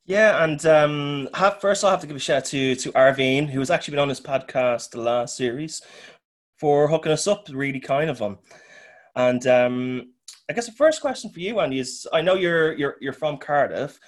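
A man's voice: on the high side, moderate pace. A man co-hosting a casual podcast interview, asking questions in a hesitant way with many fillers and restarts. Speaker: podcast co-host.

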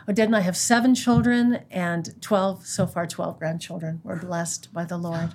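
American woman in her 60s, mellow husky voice. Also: fairly low pitch, steady tone, moderate pace. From United States. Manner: earnest, quotes scripture. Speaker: guest, pro-life organization leader. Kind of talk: conversational interview.

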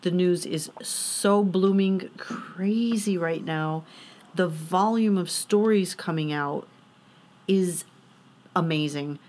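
A woman's voice: lowish voice, slow pace, a little mellow. A woman co-hosting a casual political podcast, talking in a rambling way with lots of "like.". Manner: rambling, many "like"s. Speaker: podcast co-host.

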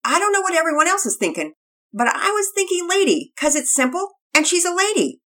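A woman's voice: very high voice, big pitch swings, brisk, pushed and bright, voice pushed up, full character voice. A woman voicing an earnest, hopeful young boy in a read-aloud story.

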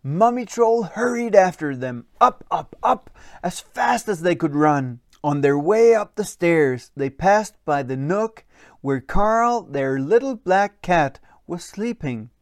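A storyteller narrating a children's bedtime story in an expressive, deliberate way. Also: medium pitch, dry recording, studio quality.

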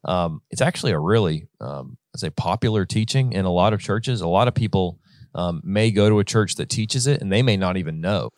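Brief: male podcast co-host, lowish voice, dry sound, easygoing chat. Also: a little fast, dry recording. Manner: relaxed, lots of fillers.

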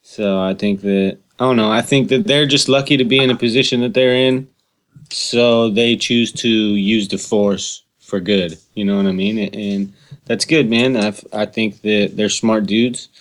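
A man's voice: on the low side, fairly even intonation, moderate pace. A man co-hosting a podcast, chatting casually and earnestly, repeating himself and swearing. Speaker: podcast co-host. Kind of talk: casual chat.

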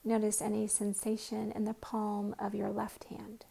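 Woman meditation guide, soft and often whispered, gravelly, flat, unhurried. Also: mid-range voice.

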